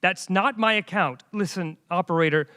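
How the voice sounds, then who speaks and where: A man in a radio comedy playing an exasperated computer programmer protesting haltingly, recorded in an echoey hall. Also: high voice, pushed and bright, clean tone. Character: Jeff Jefferson, exasperated male computer programmer on hold. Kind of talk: radio play comedy acting. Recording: echoey hall, live stage performance.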